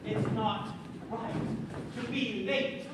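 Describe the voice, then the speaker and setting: A man's voice: high voice, slow. A man playing a genial, eager small-town mayor in an amateur stage comedy. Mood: insistent. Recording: very echoey stage, distant mic, noisy.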